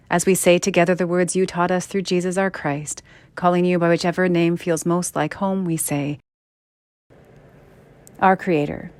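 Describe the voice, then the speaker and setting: A woman minister leading a scripted church prayer in a measured, reverent way, phrase by phrase. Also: fairly low pitch, a little mellow, dry recording.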